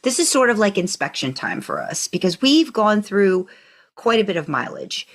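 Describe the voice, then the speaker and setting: A woman's voice: mid-range voice, very animated, moderate pace. An American woman co-hosting a podcast, talking in a casual, conversational way. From United States. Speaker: podcast co-host. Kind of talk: casual fandom podcast chat.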